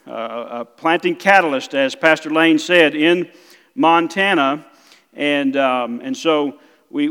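A middle-aged man with a South Mississippi accent, preaching as a guest missionary pastor, halting with many fillers. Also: fairly high pitch, fairly bright tone. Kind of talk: sermon. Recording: church hall reverb.

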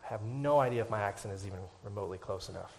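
A male pastor preaching a sermon to his church, swinging from hushed, whispered lines to loud, impassioned climaxes and closing in prayer. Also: fairly low pitch, very fast, slight background noise.

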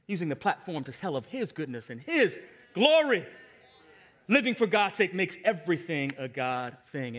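High-voiced American man preaching a sermon. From Long Island, New York, United States. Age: 40s. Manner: emphatic, repeating a refrain, swinging from hushed asides to loud exclamations. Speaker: pastor preaching.

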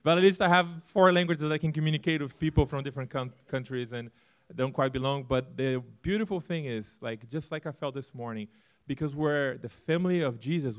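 A man from Brazil speaks with a Brazilian Portuguese accent, earnest and animated as he lays out a vision for a new church. His pitch sits in the ordinary middle range for a man, and he mixes in light self-deprecating humor. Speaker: church planter. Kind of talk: church presentation talk.

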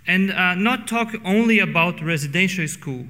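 A middle-aged Brazilian pastor preaches in English with a Portuguese accent, drawing out key words for weight. His voice is bright and sits a little high for a man. It rings out with the long reverb of a church hall. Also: expressive. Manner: earnest, slows down for emphasis. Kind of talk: sermon. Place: church sanctuary.

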